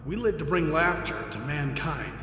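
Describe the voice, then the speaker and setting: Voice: mid-range voice, lively intonation, a bit bright, close to an everyday voice. An actor in an audio drama voicing living jokes held prisoner, speaking as a solemn, formal, wronged collective being. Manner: slow, formal, questioning. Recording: cavernous reverb, long hall echo, music underneath.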